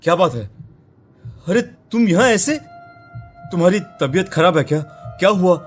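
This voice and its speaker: A casual, puzzled husband, played by a man, speaks in a slightly high male voice with animated swings. Loud music runs under his questioning horror-story delivery. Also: moderate pace. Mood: concerned. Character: concerned husband, casual and puzzled, in a haunted house. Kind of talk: Hindi horror audio story acting.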